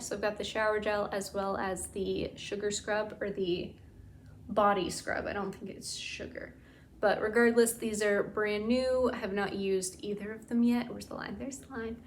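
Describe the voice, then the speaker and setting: A woman vlogging in a casual, chatty way about bath products, her voice tired and a bit raspy from talking. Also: mid-range voice, slight background noise. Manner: enthusiastic, gushing, lots of "like". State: tired, slightly raspy throat. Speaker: beauty and scent vlogger. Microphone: camera mic.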